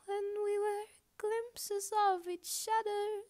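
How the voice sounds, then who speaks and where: A woman voicing a lonely, wistful, apologetic balloon in a poetic monologue, with halting, deliberate phrasing and long pauses. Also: very high voice, steady tone, darkish tone. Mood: earnest, pleading. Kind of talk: slow poetic performance monologue.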